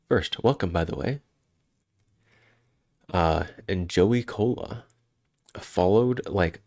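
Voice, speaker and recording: A man's voice: low-pitched, darkish tone, dry recording, clean studio sound. A man with a head cold, sounding congested, chatting casually and rambling on a live stream. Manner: rambling, self-interrupting, chuckling.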